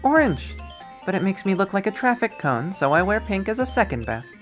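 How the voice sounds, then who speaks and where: A man's voice: high-pitched, big pitch swings, brisk, fairly bright tone, faint music behind, voice pushed up. A man voicing a menacing young college woman in a visual novel, swinging between chirpy, icy and taunting. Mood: scornful. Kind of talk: visual novel character acting.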